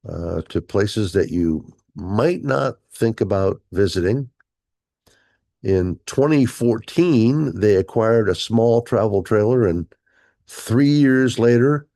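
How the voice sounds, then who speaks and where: A man's voice: fairly low pitch, darkish tone, no echo, clean studio sound. A man hosting an interview show, upbeat and introducing his guest with frequent "uh" fillers. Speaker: show host.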